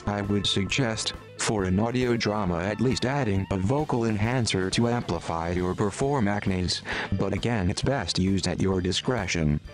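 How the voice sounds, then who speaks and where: A man reading a scripted tutorial voice-over in a measured, instructional manner. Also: lowish voice, soft background music. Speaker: tutorial narrator.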